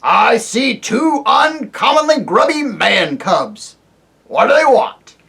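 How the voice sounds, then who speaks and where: A reader voicing a very old, deaf and irritable male dwarf who talks loudly and mishears words, in a storybook reading. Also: mid-range voice, big pitch swings, slow, gravelly, pitched above the performer's own voice, full character voice. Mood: testy, loud. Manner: loud, testy, mishears words.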